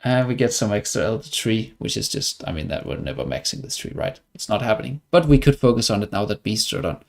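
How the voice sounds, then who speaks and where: A man's voice: on the low side, expressive, fast talker, vocal fry. A man from Denmark with a Danish accent, chatting casually and wryly as he comments on his livestreamed gameplay. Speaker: game streamer.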